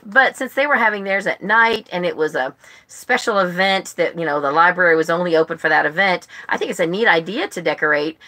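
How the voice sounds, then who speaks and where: A woman's voice: on the low side, sharp tone. A woman chatting casually to livestream viewers as a book club host, rambling and often interrupting herself. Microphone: phone.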